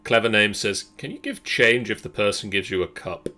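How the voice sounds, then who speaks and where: Male player, lowish bright voice, tossing out dry jokes in relaxed group banter. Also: moderate pace. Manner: joking, wry. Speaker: player in a group gamebook session. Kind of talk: casual banter.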